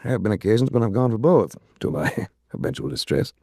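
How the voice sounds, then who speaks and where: A suave American detective from a forties radio serial. His voice is low and mellow, with a gravelly edge. He talks in wry understatement, smooth and shrewd, in a very dry studio sound. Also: lively intonation, fast talker, no room sound. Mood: suave, guarded. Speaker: lead actor and first-person narrator in a radio detective series. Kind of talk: 1940s radio drama acting and narration.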